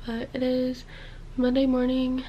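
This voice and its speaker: A woman's voice: high voice, completely flat delivery, unhurried, dull audio, a little hiss or hum. A young woman chatting casually to camera in her bedroom, slightly stuffed up, with lots of "like" and "literally". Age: young adult. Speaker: college student vlogger. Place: small bedroom, indoors.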